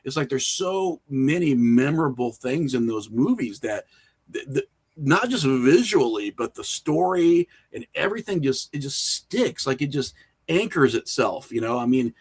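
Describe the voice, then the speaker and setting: A man talking casually and conversationally as a podcast guest, telling things in an animated, storytelling way. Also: mid-range voice, moderate pace, slightly rough. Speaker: podcast guest, horror filmmaker and writer.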